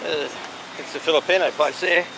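A man giving a casual, halting walking commentary as he points out sights outdoors. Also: a little high, fairly even intonation. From Australia. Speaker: walking tour vlogger. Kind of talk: casual walk-and-talk commentary. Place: outdoors, walking along a city street. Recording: outdoor street recording with clear traffic noise. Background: street and vehicle noise.

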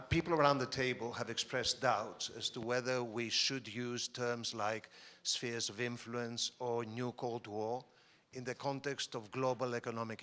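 Man, mid-pitched, brisk and direct, floor question at a conference. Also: bright, forceful tone. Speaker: audience member asking a question. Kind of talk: brief audience question. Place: large conference hall.

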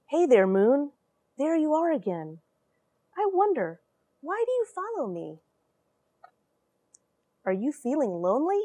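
A woman in her forties reading and explaining a story to children in an animated, questioning way from a small airlock. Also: high voice, unhurried, long pauses, dry recording. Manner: animated, expressive, addresses young listeners with questions. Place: small cramped metal space station airlock.

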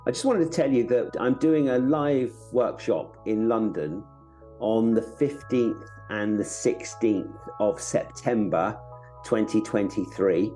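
Low-voiced middle-aged man over faint guitar. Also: slow, dark tone, low-quality recording. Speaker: podcast host, acting teacher. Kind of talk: informal promotional announcement to camera. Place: indoor room at home. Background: faint guitar music.

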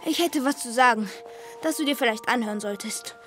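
Sulky sceptical kid brother played by a woman, high voice, grumbly, faint music under it. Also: a little fast, fairly bright tone, close to an everyday voice. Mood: suspicious, challenging. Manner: grumbling, challenging. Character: sulky, sceptical, needling young boy. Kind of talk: German audio drama acting.

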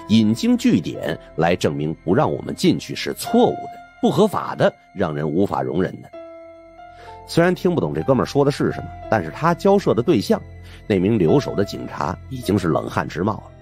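A man's voice: sits low, a little mellow. A man narrating an audiobook as a colloquial, conversational storyteller.

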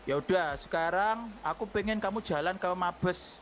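A man's voice: high voice, normal speed, a bit bright, slight background noise. A man playing a bossy, menacing gang boss in online game roleplay, commanding and taunting. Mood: threatening.

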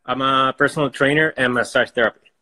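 A man's voice: medium pitch, steady tone, quick pace, pushed and bright. A man in his forties with a Puerto Rican accent and Spanish as his first language, chatting casually as a caller on a phone indoors. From Puerto Rico. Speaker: caller, personal trainer. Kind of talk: casual chat. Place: indoor room at home. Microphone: phone at arm's length.

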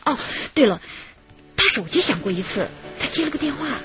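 Coy dance-hall woman, high swooping voice, chatty, radio drama, muffled faint music. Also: sing-song, fairly quick. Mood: defensive, recounting. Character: chatty, flirtatious Shanghai dance-hall woman, defensive robbery victim. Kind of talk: radio drama dialogue and recounting. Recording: slightly muffled, music underneath.

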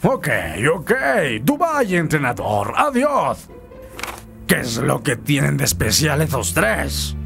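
An actor playing a cocky, boastful soccer star in a melodramatic cartoon dub. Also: male voice, on the high side, sing-song, slow, gravelly, fairly bright tone, soft background music, heavily acted. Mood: uneasy, petulant. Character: vain, cocky star male soccer player. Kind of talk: Spanish-dubbed cartoon acting.

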